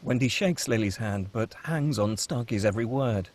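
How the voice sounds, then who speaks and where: A man narrating the stage directions at a script table read, steady and descriptive. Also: mid-range voice, moderate pace.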